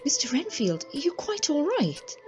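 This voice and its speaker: An actress playing a polite, curious married lady in a radio drama, formal and gently expressive. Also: high-pitched, moderate pace, soft background music.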